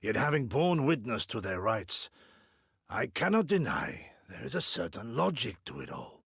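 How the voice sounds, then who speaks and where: A proud, inquisitive samurai warrior in a video game. The voice is gravelly and rough, moving slowly through formal, archaic phrases. It swoops dramatically in pitch, in a very dry studio sound. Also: male voice, big pitch swings, a bit bright, no echo, heavily acted. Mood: reflective, wry.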